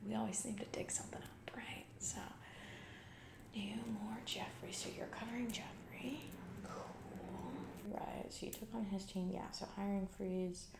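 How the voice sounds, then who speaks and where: A woman playing a distracted, callous corporate CEO in an ASMR comedy roleplay, in a breathy whisper and soft speech, vague, halting and flippant. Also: mid-range voice, little pitch movement, roomy sound. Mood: casually callous.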